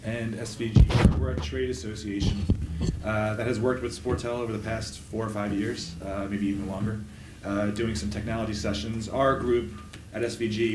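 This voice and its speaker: A man's voice: lowish voice, background noise. A man moderating a conference panel, speaking casually with many fillers. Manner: relaxed, many fillers, thinks aloud. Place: conference room at a trade event. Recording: roomy echo, mic from a distance, faint ambience.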